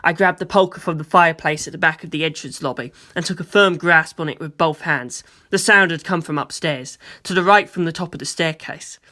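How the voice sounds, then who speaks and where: Bright, forceful story narrator. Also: medium pitch, brisk, hardly any pauses. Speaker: audiobook reader. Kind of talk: expressive first-person story narration.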